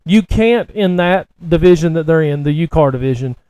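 A middle-aged man with a Southern US accent, co-hosting a casual chat and haltingly repeating words as he goes. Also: fairly high pitch, lively intonation, mellow, smooth, no echo, rough recording. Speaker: podcast co-host. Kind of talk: casual chat about racing and rodeo.

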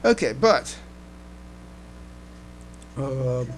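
A man's voice: medium pitch, extremely slow, poor audio. A man chatting casually as a podcast co-host, often stumbling and repeating his words as he goes. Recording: steady background noise and hum.

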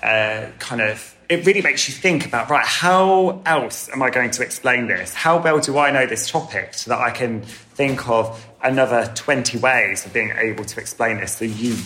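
A man talks as an interview guest, animated and full of little fillers. His voice has a bright, forward tone, with a faint background hiss. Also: mid-range voice, moderate pace, hardly any pauses. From Southern England. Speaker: guest, hair colour educator. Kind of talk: conversational interview.

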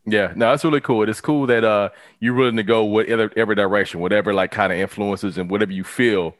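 Laid-back guy interviewing, talks fast, dry close mic. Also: lowish voice, fairly even intonation, no room sound. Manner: laid-back, brief affirmations. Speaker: interviewer host. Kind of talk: casual interview chat.

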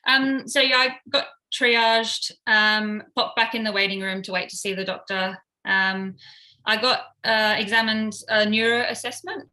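A bright-toned woman in her thirties speaks in a casual, hesitant way, pausing often on ums. She sounds clear and forward as she recounts events step by step. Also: a little high, steady tone, poor audio. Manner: hesitant, many fillers. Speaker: paramedic interviewee.

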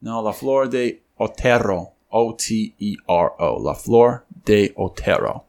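A man's voice: fairly low pitch, a little slow. A man co-hosting a podcast, chatting casually across a table and telling jokey stories. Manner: joking, animated storyteller. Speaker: podcast co-host. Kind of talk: casual chat. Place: studio table with a co-host.

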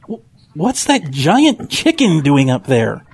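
A man's voice: a little high, huge pitch swings, slow, a little mellow, slightly rough, full character voice. A man voicing a grumpy, bewildered pig who grumbles, in a children's audio drama. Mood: puzzled, grumbling.

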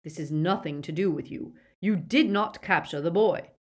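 A woman's voice: on the low side, moderate pace, natural voice. A woman voicing a proud, anxious villainous aristocratic father in an audiobook, urgent and pompous. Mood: indignant, commanding. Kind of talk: audiobook character acting.

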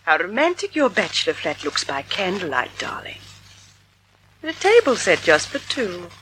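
A woman's voice: lowish voice, big pitch swings, normal speed, a bit bright. An actress in a 1940s-style radio drama playing a vain, glamorous, cold woman with a silky, imperious, theatrical manner. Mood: silky, menacing. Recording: noisy old radio recording.

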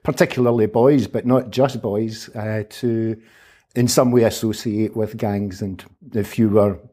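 A man, a humanitarian aid worker giving measured, thoughtful answers as an interview guest. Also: fairly low pitch, fairly even intonation, dark tone. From Scotland. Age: 50s. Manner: measured, thoughtful, qualifies his words. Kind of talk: reflective interview conversation.

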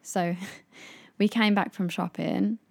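A woman's voice: mid-range voice, steady tone, takes it slowly, creaky, a little mellow. A young British woman in casual chat as a podcast co-host, chatty and playful, laughing often.

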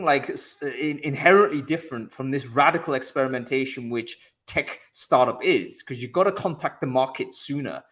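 A man hosting a podcast interview, chatting casually and recapping his guest's points. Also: fairly high pitch. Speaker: podcast host.

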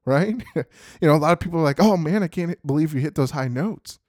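Upbeat deep-voiced man giving motivational tips. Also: lively intonation, a little fast, darkish tone, no room sound, studio quality. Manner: upbeat, repeats "right?" and "you know". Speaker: podcast host. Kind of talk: motivational talk.

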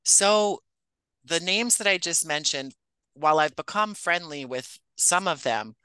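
Male interview guest, writer-producer type. Clean, bright voice, close dry sound, conversational delivery. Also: fairly high pitch, animated, unhurried, clear, resonant tone, no room sound, low-quality recording.

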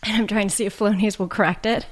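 A woman with a nasal voice, a mother and podcast guest, making dry, self-deprecating jokes while mildly high. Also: steady tone, quick pace. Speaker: guest, mother and business owner. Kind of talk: casual chat.

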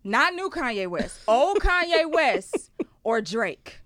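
A young woman with a bright, forceful voice hosts a relaxed podcast conversation. She fires off playful quiz questions and then shifts into thoughtful personal storytelling. Her voice is recorded dry and close. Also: high-pitched, takes it slowly, no echo. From Houston, Texas, raised in Ghana. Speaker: host. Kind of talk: casual podcast chat.

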